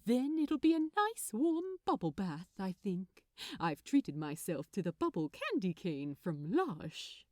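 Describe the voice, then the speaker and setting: A woman's voice: mid-range voice, sing-song, slow, no room sound, studio quality. A woman voicing a polite, affectionate, genteel man who loves wrapping gifts, in a storybook reading. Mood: eager, pleased. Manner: prim, bright, polite, affectionate.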